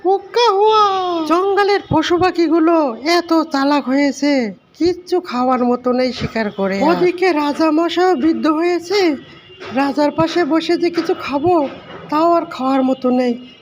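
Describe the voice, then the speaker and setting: Very shrill, sly jackal. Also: male voice, slight background noise. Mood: hungry, grumbling. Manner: wheedling, drawn-out calls. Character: sly hungry scheming jackal, wheedling and howling.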